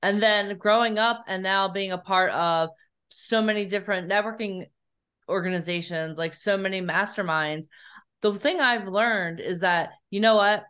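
A woman's voice: medium pitch, moderate pace, a bit bright, clean tone. A woman hosting a podcast, chatting in an effusive, friendly way with her guest. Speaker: podcast host. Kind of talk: casual interview talk.

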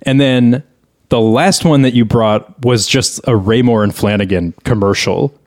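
A man's voice: mid-range voice, measured pace, darkish tone. Skeptical man hosting a casual podcast. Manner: skeptical, questioning. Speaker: podcast host.

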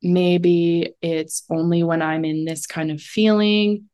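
A woman with a slightly low voice talks as an astrologer guest in an easygoing interview. She is reflective and unhurried, and she often drops in a casual "like" between her thoughts. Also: steady tone, warm tone, clean tone.

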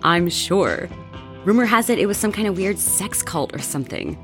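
Young woman, acted, gossipy, bold, dramatic, very animated pitch, audio drama, music underneath. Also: moderate pace. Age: young adult. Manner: animated, teasing, dramatic storytelling. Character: excitable young woman roommate, gossipy, bold, scheming to party. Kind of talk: horror audio drama dialogue.

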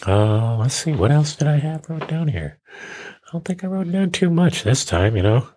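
A man of fifty hosting a casual, rambling solo show in a self-deprecating, joking way, with a husky voice. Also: on the high side, expressive, normal speed, dark tone. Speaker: podcast host.

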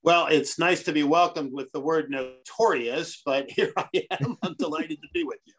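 A middle-aged man from Brazil, a guest on a podcast, answering in an earnest, measured way. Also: a little high, fairly bright tone. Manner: earnest, measured, speaks of his convictions. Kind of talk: conversational interview.

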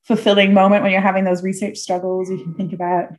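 A woman's voice: medium pitch, level tone, quick pace. A woman hosting an interview in a casual, chatty style. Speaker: show host, interviewer.

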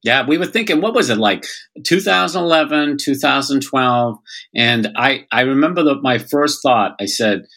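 A middle-aged man with a Boston accent and a medium-pitched voice. He talks in a casual, conversational way as a guest, earnest and given to repeating phrases. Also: lively intonation, normal speed, fairly bright tone. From Boston, United States. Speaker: podcast guest, nonprofit partnerships expert.

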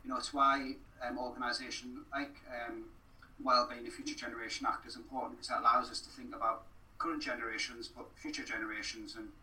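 A man's voice: medium pitch, level tone, fast, pushed and bright. A man speaking conversationally as a podcast guest, earnest and repeating phrases for emphasis. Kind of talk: conversational interview. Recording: roomy, distant mic, faint noise.

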